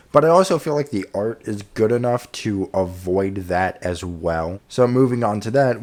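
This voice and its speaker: A man's voice: lowish voice, darkish tone. A young man talking casually to viewers, reviewing and ranking videos in a candid, opinionated way with loose fillers. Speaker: YouTube commentator.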